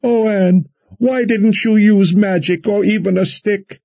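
A man's voice: steady tone, measured pace, creaky, dark tone, no room sound, exaggerated voice. A man voicing a fretful, protective woman healer in an audiobook fantasy story, anxious and rambling. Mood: frightened, crying out. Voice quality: shrill.

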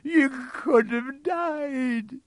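A man voicing a huge, bearded, soft-hearted man in a storybook, with a booming, tearful voice and blunt rustic speech. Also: lively intonation, unhurried, breathy edge, dry recording, heavily acted. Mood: sobbing, remorseful.

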